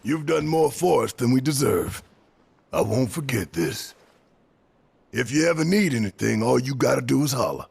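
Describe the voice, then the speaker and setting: An actor playing a gruff but tender father and resistance fighter in a video game cutscene, speaking gently and earnestly in a gravelly, husky voice. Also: medium pitch, animated, normal speed. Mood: firm, grateful.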